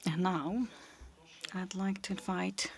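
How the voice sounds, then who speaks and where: Gravelly-voiced man introducing a speaker. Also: high voice, steady tone, slow. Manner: formal, reading with fillers. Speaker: conference moderator. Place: university lecture hall, at a podium. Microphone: desk mic on a stand.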